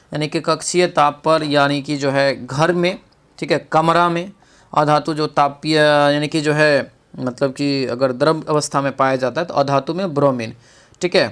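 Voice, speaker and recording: A man's voice: a little high, fairly even intonation, normal speed, a bit bright, clean tone. A man teaching an exam practice lesson, reading out questions and explaining answers with frequent check-in tags and rhetorical questions.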